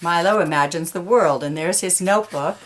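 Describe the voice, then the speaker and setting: A woman's voice: on the low side, normal speed, a little hiss or hum. A woman reading a picture book aloud to children, mixing storytelling with informal conversational asides about the pictures. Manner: explains pictures in informal asides between read lines.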